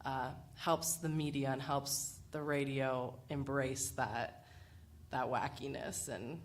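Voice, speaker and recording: Low-voiced woman with noisy room ambience. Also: steady tone, slow. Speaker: radio panelist. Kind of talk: casual panel discussion talk.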